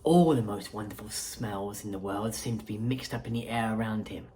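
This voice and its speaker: A woman reading a children's story aloud as a school principal, expressively and with deliberate dramatic emphasis. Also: a bass voice, warm tone, slight background noise.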